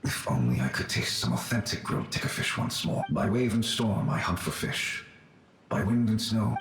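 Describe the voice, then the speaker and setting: A man playing the gentle ghost of an ancient warrior guardian in a video game, speaking formally and wistfully. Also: fairly low pitch, sing-song, vocal fry, background noise. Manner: formal, wistful, measured speech. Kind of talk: scripted video game dialogue. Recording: echoing voice under loud game music.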